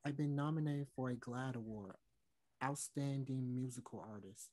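A young man reading the part of an earnest, nervous singer in a play, speaking haltingly. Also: mid-range voice, a little mellow, natural voice. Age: young adult. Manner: hesitant, halting. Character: nervous, earnest male singer seeking a hard talk. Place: indoor room on video call.

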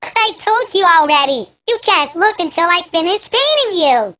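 A woman's voice: extremely high pitch, lively intonation, normal speed, bright, forceful tone, full character voice. A woman voicing a confident, bossy young girl animal in a children's learning game. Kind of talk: children's educational game acting.

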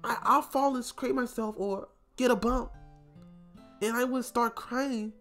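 A man voicing a bubbly, clumsy teenage anime schoolgirl in a high falsetto with a sweet, childlike, sing-song delivery. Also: fairly even intonation, unhurried, fairly bright tone, soft background music. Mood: wistful.

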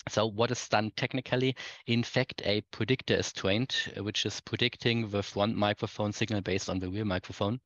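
Low-voiced man presenting, muffled audio. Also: fairly even intonation, normal speed, fairly bright tone, no room sound. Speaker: conference speaker. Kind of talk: technical keynote presentation. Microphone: video call. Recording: dull, muffled lo-fi online-call audio.

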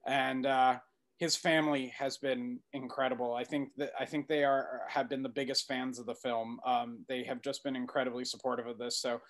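A middle-aged man talking casually as an interview guest, halting and repeating words as he goes. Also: medium pitch, level tone. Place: indoor room, video call.

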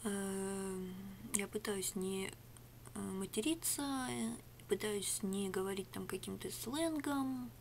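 A middle-aged woman rambling through a sarcastic product review in a home room, switching between a whisper and a soft speaking voice. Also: mid-range voice, slow, vocal fry, a little hiss or hum. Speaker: ASMR vlogger. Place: small home room with rug on wall. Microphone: webcam at arm's length.

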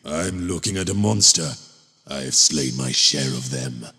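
A man playing a gruff, grim warrior of a wolf legion in a video game, menacing and declamatory, with gravel in his voice. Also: very deep voice, lively intonation, unhurried, sharp tone, long reverb tail, exaggerated voice.

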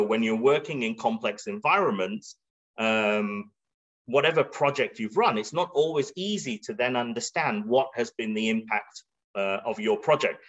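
A man's voice: mid-range voice, a bit bright. A middle-aged man giving an informal explanatory webinar talk, conversational and a little hesitant as he thinks aloud. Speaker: webinar presenter. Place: indoor room. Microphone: video call. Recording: dull, band-limited video call audio.

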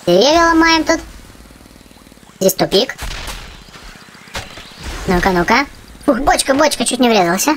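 A youthful-sounding gaming host giving excited, chatty gameplay commentary, often exclaiming and repeating phrases. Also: sits high, unhurried, pauses often, slight background noise. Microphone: voice-over on game footage.

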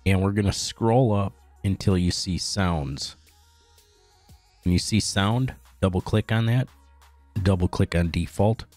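A man narrating a step-by-step software tutorial in a plain, instructional way. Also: sits low, unhurried, dry recording, soft background music. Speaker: tutorial host.

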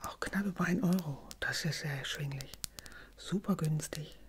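A middle-aged woman in a gentle, unhurried whispered ASMR roleplay, speaking softly and breathily close to the microphone. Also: low-pitched, slight background noise. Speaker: ASMR creator playing a customer in a drugstore roleplay.

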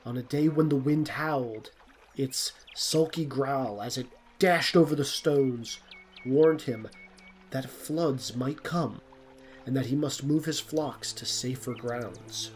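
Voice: mid-range voice, slow. A narrator reading a scripted storybook tale. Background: plucked string music.

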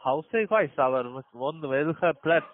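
A man with a foreign accent in German, speaking haltingly as a call centre agent and repeating words, on a telephone line. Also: a little high, animated. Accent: foreign, non-native German. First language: not German. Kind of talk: unscripted phone sales call.

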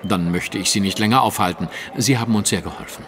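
An actor playing a shrewd, commanding detective in an audio drama, formal, precise and drily superior. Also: male voice, on the low side, fast talker, background noise, natural voice. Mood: probing, courteous. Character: shrewd, coolly polite, commanding male detective.

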